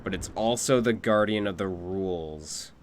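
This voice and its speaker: A man's voice: deep voice, normal speed. A man streaming a video game, giving casual live commentary and musing aloud. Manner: muses aloud, repeats phrases, casual swearing.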